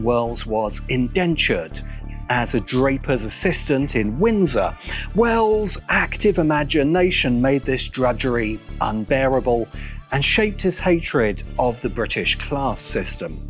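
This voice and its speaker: Man narrating with lively, swinging pitch. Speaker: video essay narrator and host. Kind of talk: scripted narration, literary video essay. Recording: music behind the voice. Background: music.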